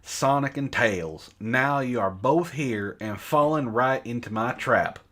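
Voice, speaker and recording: A man's voice: mid-range voice, unhurried, fairly bright tone. A man reading bad fan fiction in mock-dramatic style, with exasperated, sarcastic asides. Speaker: reader on a comedy book club show.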